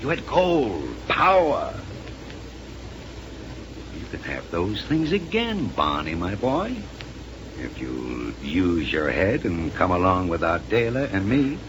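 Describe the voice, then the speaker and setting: An actor playing a smooth, menacing, condescending villain in a 1930s science-fiction radio serial, mocking and theatrical. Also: male voice, low-pitched, very animated, slow pace, full character voice. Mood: coaxing, sly. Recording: noisy vintage 1930s radio recording.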